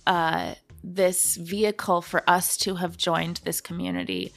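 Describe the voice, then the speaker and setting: Woman podcast guest, lowish voice with strong vocal fry, earnest and thoughtful. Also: level tone, a little slow, faint music behind. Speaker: podcast guest, audio drama creator. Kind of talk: earnest conversational interview answers.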